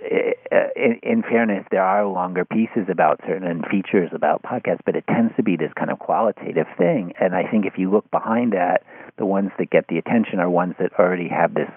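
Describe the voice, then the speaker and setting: Phone-like, low-voiced man in discussion. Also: breathy edge, dry recording. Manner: thoughtful, halting, often restarts phrases. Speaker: interview guest, writer of an article on podcasts. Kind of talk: conversational interview discussion. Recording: phone-like narrow-band sound.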